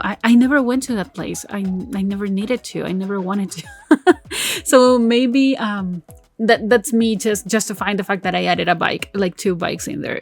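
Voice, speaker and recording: A woman whose first language is Spanish, giving casual voice-over commentary on a building game, rambling through her choices with fillers and self-corrections and often laughing at herself. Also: mid-range voice. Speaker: game build video creator.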